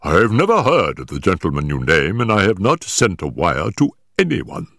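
A man's voice: deep voice, wildly swooping pitch, moderate pace, vocal fry, a bit bright, dry recording, studio quality, exaggerated voice. A man voicing a big, solemn, pompous male clergyman who speaks in a stiff, indignant way in a storybook reading.

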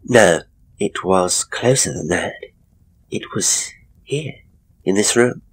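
A man voicing an ailing, frightened married woman who speaks in a halting, hushed way in a horror story. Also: deep voice, lively intonation, slightly rough, heavily acted. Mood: hushed, uneasy.

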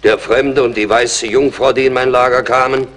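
An actor playing a stern, dignified old chief in a radio play, solemn and measured. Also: male voice, mid-range voice, fairly even intonation, a bit bright, a little hiss or hum, lightly acted. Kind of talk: dramatic radio play acting.

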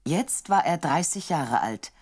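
Woman narrating a bible audio drama, low voice, measured delivery. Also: animated, a little mellow, breathy edge. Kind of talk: scripted Bible story narration.

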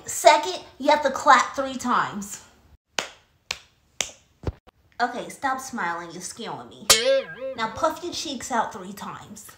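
A woman playing a mischievous little girl in a comedy skit, telling tall tales in a theatrical, drawn-out way. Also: fairly high pitch, fairly bright tone. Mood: bossy. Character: mischievous little girl Coco, cookie-loving tall-tale teller. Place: small bedroom, indoors. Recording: roomy echo, faint music behind.

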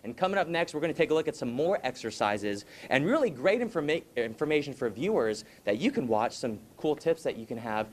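A man's voice: medium pitch, sing-song, a little fast, few pauses. A young man reporting live in the field for TV from a large fire station garage, holding a handheld mic and exclaiming often. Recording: echoey garage with background noise.

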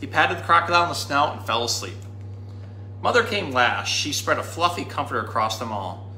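Bright-voiced man reading a storybook. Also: mid-range voice, a little fast. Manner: animated, dramatic reading. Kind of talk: expressive children's storytime read-aloud. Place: indoor room. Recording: roomy indoor sound with faint noise.

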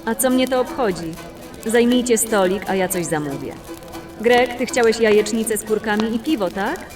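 A woman's voice: fairly high pitch, normal speed, far from the mic, music in the background, natural voice. A woman playing a practical, level-headed fantasy adventurer in an audio drama, speaking in a matter-of-fact, composed way.

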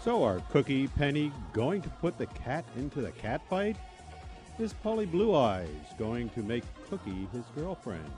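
A man's voice: mid-range voice, very animated, measured pace, a little mellow. A man playing a dramatic reality show announcer, narrating teasers in a radio play. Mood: hyped, teasing. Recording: live radio studio, music under voice.

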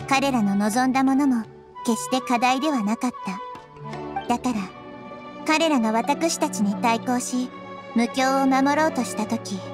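Child-like deity, anime game: high-pitched, gentle, thoughtful, measured delivery, music behind. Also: female voice. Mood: thoughtful, earnest. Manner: measured, soft-spoken, reflective. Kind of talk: Japanese anime game voice acting.

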